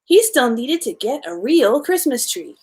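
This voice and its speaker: Female storyteller, higher-pitched voice, informal read-aloud of a children's bedtime tale, slightly unpolished delivery. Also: very animated, unhurried.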